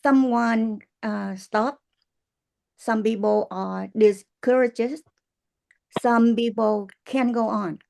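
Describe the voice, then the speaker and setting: A woman in her sixties with a Vietnamese accent giving a prepared testimonial in halting, earnest English. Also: a little high, fairly even intonation, vocal fry, a little mellow. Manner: halting, earnest, non-native English with pauses. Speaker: guest speaker, business distributor.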